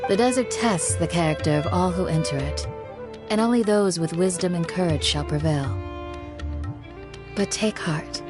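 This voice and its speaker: An actress playing a composed, earnest desert guardian in a video game, speaking formally and reassuringly. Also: female voice, fairly low pitch, normal speed, close to an everyday voice. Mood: solemn, reassuring. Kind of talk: scripted video game dialogue. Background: background music.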